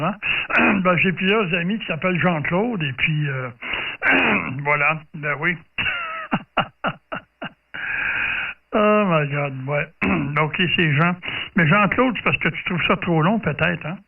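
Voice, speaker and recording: A man chatting casually as an amateur radio operator over the air, haltingly, repeating words as he goes. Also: fairly high pitch. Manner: halting, repeats words, clears throat often. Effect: radio transmission. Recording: distant, thin narrow-band radio audio.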